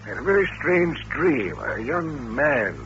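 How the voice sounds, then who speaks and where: An actor playing an uneasy, inquisitive senator in an old-time radio drama, asking formal questions in a hesitant, stammering way. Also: male voice, on the high side, sing-song, a little slow, fairly bright tone. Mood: bewildered. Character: uneasy, inquisitive male senator touring an institution. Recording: dull old radio recording, faint hiss.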